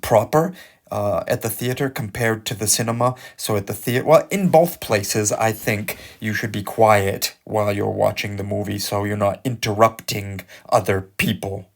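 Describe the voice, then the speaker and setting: A man with a lowish voice and a gravelly, creaky edge walks students through exam questions. He muses and hesitates, filling pauses with ums and uhs. He sounds like a teacher explaining in an unhurried, informal way. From English-speaking country. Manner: musing, hesitant, many fillers. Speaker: English teacher. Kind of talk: exam-prep lesson talk.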